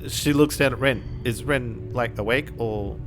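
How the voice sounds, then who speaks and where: A woman's voice: extremely low pitch, measured pace. A woman playing a tabletop roleplaying game, casually narrating her character and chatting at the table. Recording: prominent music behind voice. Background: music.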